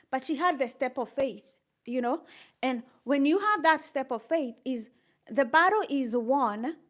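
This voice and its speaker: Upbeat older Kenyan woman streaming. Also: fairly high pitch. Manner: upbeat and encouraging, reads out chat comments, laughs often. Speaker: livestreamer. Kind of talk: casual live stream chat with viewers.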